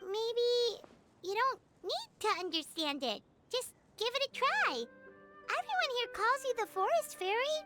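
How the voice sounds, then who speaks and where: A woman voicing a tiny, childlike floating fairy companion in a video game, squeaky and chirpy, with music behind. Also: sing-song, unhurried, exaggerated voice. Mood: curious. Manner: chirpy, speaks of self in third person.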